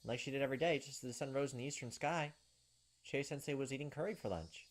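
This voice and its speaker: Fast-talking American man narrating a game. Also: mid-range voice. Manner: informal, salty-tongued. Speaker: let's play host. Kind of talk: reading game script aloud with casual asides. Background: faint game music.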